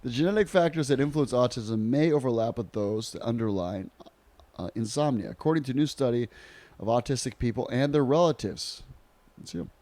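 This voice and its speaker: A man's voice: medium pitch, lively intonation, fairly quick, mellow, dry recording. Joking man, dry close sound. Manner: joking, bantering. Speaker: podcast co-host. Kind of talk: casual chat.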